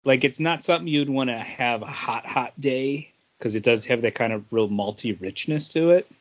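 A man's voice: medium pitch, normal speed, muffled recording. A man co-hosting a podcast, chatting casually with the others. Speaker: podcast co-host. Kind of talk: casual chat.

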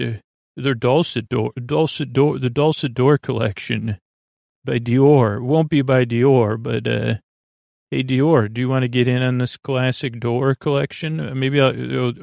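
A man hosting a bedtime podcast, talking in a soft, creaky, meandering way with many fillers and restarts. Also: medium pitch, dry recording, clean studio sound. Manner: soft, meandering, self-interrupting. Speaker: podcast host. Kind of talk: rambling bedtime podcast talk.

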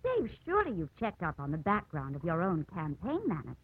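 A woman's voice: fairly low pitch, huge pitch swings, brisk, breathy edge, heavily acted. An actress playing a bold, scheming small-town society woman with an arch, needling manner in a vintage radio drama. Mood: coolly scheming. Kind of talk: 1940s-50s radio acting. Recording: muffled old radio broadcast.

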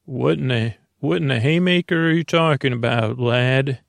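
Man acting gym teacher type, officious, challenging, halting, creaky voice, comic podcast character. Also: medium pitch, no room sound, lightly acted. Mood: suspicious, officious. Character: pompous questioning authority man, like a gym teacher.

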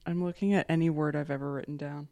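A woman's voice: low-pitched, steady tone, fast talker, dark tone, no echo. A woman co-hosting a podcast, chatting in a wry, self-deprecating way. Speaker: podcast co-host. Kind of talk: casual chat.

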